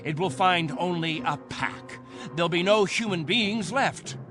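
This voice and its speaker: An actor playing a stern, fervent captain of a space colony in a radio drama, with an oratorical, authoritative delivery. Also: male voice, sits high, measured pace, over music. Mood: scornful oratory.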